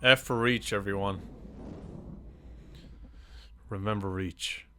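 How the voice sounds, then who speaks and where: A man hosting a reaction video, commenting casually and excitably in short informal remarks. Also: lowish voice, takes it slowly, soft background music.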